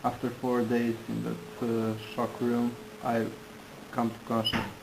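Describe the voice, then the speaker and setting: A man interpreting into English, halting and restarting in phrasing. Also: medium pitch, monotone, creaky, noisy background. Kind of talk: consecutive interpreting.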